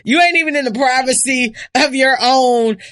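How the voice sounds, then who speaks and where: Animated young woman podcast host. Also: high voice, moderate pace. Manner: animated, laughing, profane. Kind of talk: casual chat.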